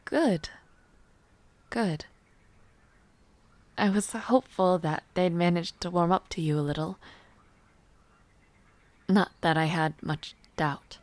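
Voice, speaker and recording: A woman playing a refined, teasing young palace noblewoman in an intimate audio roleplay, speaking in a poised, formal, softly playful way. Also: lowish voice, takes it slowly, pauses often, no room sound. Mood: warm, earnest. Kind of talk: intimate audio roleplay acting, chatting to the listener.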